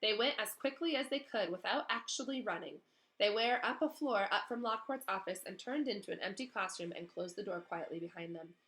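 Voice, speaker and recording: A woman narrates a children's novel in an expressive storytelling style. Her voice sits at a middle pitch with a very bright, forceful edge. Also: fairly quick, faint music behind. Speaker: read-aloud storyteller.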